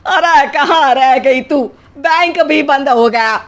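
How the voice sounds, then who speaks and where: A woman's voice: high voice, slow pace. A woman playing an exasperated, sarcastic older Indian mother-in-law in a comic story, scolding and teasing in colloquial speech. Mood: bossy, hurried. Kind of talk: acted Hindi comic story dialogue.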